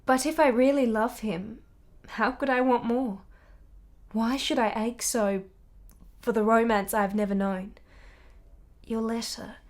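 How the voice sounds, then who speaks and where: A woman playing a candid young wife who yearns for romance in a radio drama, speaking in measured, articulate, intense phrases. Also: on the high side, steady tone, darkish tone, natural voice. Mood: yearning.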